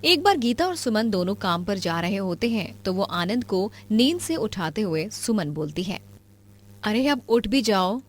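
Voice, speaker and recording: A woman's voice: medium pitch, big pitch swings, normal speed, hardly any pauses. Woman narrating a cartoon story.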